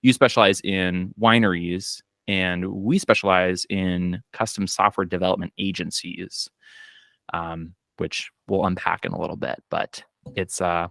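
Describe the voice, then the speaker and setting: Thirties male accountant, Minnesotan accent, deep voice, dry sound, relaxed chatty storyteller. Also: creaky, no room sound. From Minnesota, US Midwest. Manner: relaxed storytelling with frequent fillers and quoted asides. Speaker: accountant and business owner. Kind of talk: casual podcast conversation. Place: home office. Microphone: video call.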